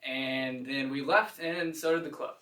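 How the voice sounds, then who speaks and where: Young male co-host, mid-pitched bright voice, sarcastic teasing, roomy echo, off-mic. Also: steady tone, measured pace, distant mic. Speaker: podcast co-host. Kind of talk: casual podcast banter.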